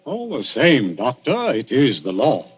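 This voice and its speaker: An actor playing a genial, sly, insinuating police inspector in a 1940s radio drama, with folksy, wheedling politeness. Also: male voice, mid-range voice, wildly swooping pitch, a little slow. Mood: pointed, insinuating. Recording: muffled 1940s radio broadcast, faint music.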